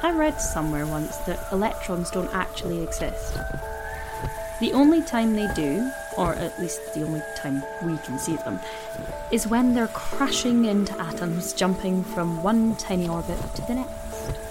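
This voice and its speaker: An actress playing a pensive woman narrator, delivering a measured, introspective audio drama monologue. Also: on the low side, animated, over loud music. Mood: reflective. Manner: reflective, measured. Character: pensive woman narrator musing on existence while trudging through snow.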